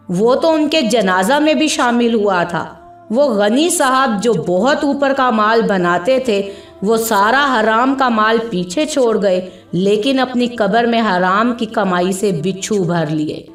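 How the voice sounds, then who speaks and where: Woman storyteller, higher voice, unhurried measured narration, dramatic delivery, reverberant sound, music underneath. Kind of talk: scripted narration of an Urdu moral story. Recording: echoey voice with music behind.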